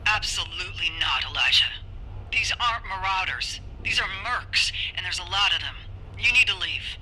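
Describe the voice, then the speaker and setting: An actress playing a tough, war-scarred mech pilot in a video game, blunt and commanding. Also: female voice, on the low side, expressive, normal speed, bright, forceful tone, slight background noise, poor audio. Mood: stern, commanding. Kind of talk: video game cutscene acting.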